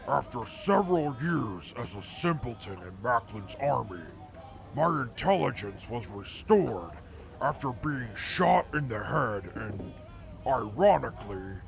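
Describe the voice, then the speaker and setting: An actor playing a reformed male mutant soldier in an audio drama, speaking in a formal, measured way. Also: medium pitch, creaky, a bit bright, heavily acted. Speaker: former enemy scout now with the crew.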